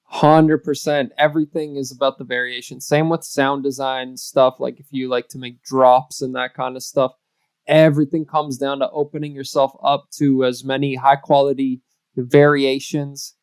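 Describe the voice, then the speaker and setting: Emphatic fast-bursting man on a podcast. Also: medium pitch, flat delivery, clean tone, no room sound. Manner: emphatic, speeds up in bursts, playful. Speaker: podcast co-host. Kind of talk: casual chat.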